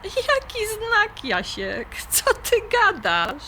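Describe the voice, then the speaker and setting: A woman's voice: very high voice, wildly swooping pitch, extremely slow, bright, forceful tone, slight background noise, full character voice, poor audio. A woman playing a skeptical but fond middle-aged mother being courted, questioning incredulously in an audio drama. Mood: amused disbelief.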